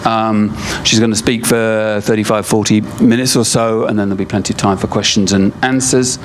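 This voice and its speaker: A man with a low, even voice introduces a speaker at a university lecture, hesitating often and filling pauses with ums. He speaks into a microphone in a lecture room, and faint background noise sits under the speech. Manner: halting, many fillers and restarts. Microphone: microphone at a lectern.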